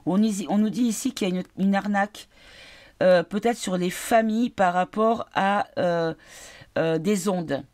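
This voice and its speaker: A woman giving a casual card reading, tired and congested from a cold, halting as she searches for her words. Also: medium pitch, darkish tone. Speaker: card reader.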